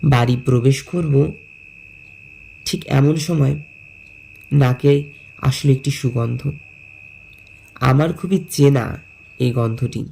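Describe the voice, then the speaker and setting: A man tells a scripted first-person story. His delivery is very slow and measured, phrase by phrase. The voice is mellow with a creaky grain. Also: medium pitch, slight background noise. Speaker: narrator and lead character.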